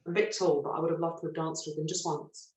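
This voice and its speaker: A middle-aged woman from Britain, a stage actress telling lively, self-mocking stories in an interview and laughing often. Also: low voice, fast. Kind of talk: relaxed anecdotal interview chat. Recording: roomy echo.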